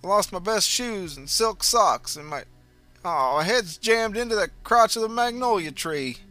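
A bewildered, frantic landlord ghost, as a man plays him raises his voice high and loud, pushing out anxious questions. The tone is bright and tense, with faint music beneath the storybook reading. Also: expressive, moderate pace, no echo. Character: greedy middle-aged male landlord ghost, bewildered and frantic.

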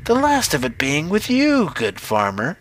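A man voicing a sly, vengeful old witch in a storybook reading, with a slow, crooning delivery. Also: fairly high pitch, wildly swooping pitch, fairly bright tone, slight background noise, pitched above the performer's own voice, exaggerated voice. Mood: menacing. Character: menacing old witch, slyly pleased, vengeful.

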